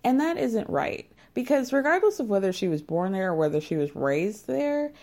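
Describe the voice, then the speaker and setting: A woman's voice: mid-range voice, very animated, normal speed, mellow. A young woman with a sarcastic, gossipy manner, casually recapping a reality dating show and filling her talk with asides. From United States. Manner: sarcastic, gossipy, lots of fillers and laughs. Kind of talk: casual chatty commentary.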